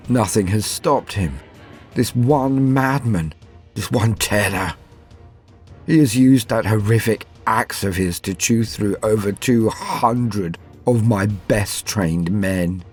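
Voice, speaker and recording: A man playing an arrogant villainous officer in a dramatic first-person horror tale, emphatic and theatrical with weighty pauses. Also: lowish voice, darkish tone, slightly rough, soft background music. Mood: dread, resignation. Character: arrogant male villain officer sinking into dread.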